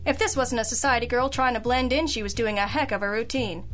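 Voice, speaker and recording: An actress playing a sharp-witted, sardonic female detective in an old-time radio noir, dry, teasing and cool. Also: on the high side, fast talker, faint music behind, close to an everyday voice. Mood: shrewd, cool.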